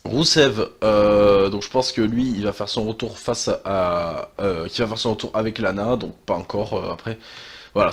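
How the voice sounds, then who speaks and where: A young man with a head cold talks in a low, husky voice. He chats loosely and hesitantly, filling pauses with sighs and fillers. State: has a cold, stuffy. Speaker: wrestling channel host. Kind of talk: casual commentary monologue.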